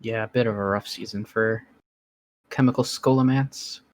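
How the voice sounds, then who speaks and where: A man's voice: fairly low pitch, steady tone, moderate pace, dry recording. A man co-hosting a card game stream, chiming in with short remarks and jokes. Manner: brief interjections, joking. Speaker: co-host. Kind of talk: casual chat.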